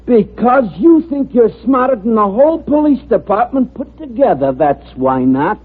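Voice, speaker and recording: An actor playing a dim, touchy police sergeant, whiny and huffy, in a comic old-time radio drama. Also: male voice, extremely high pitch, big pitch swings, heavily acted. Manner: whiny, huffy, slow-witted. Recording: narrow tinny old radio.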